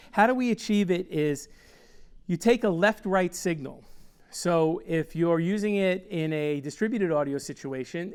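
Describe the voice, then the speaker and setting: A man in his sixties, an audio designer, explaining a loudspeaker system in a technical, rambling way with fillers, on a lapel mic in a small studio. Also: on the high side, smooth. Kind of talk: product explainer. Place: small studio with wooden backdrop.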